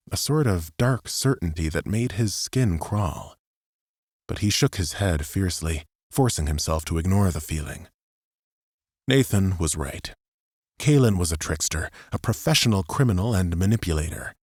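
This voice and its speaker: Guy narrating a dramatic audiobook, lowish voice, super dry studio. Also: animated, normal speed, a little mellow, breathy edge, no echo. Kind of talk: scripted dramatic fiction narration.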